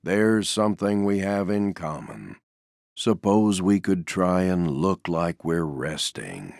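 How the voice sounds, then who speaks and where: A man voicing a gloomy, mild-mannered toy donkey for a video game, with a deep, gravelly voice and a glum, resigned, self-deprecating drawl. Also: sing-song, darkish tone, no room sound, clean studio sound, exaggerated voice. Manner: slow, glum, resigned drawl with downcast understatement. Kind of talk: video game character voice lines.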